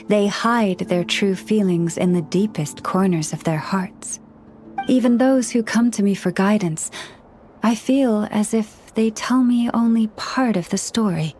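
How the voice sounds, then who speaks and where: A woman voicing a gentle, earnest young woman who counsels animals in a video game, speaking in a measured, formal way. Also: medium pitch, lightly acted. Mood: reflective, earnest. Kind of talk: video game character dialogue. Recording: studio voice over background music.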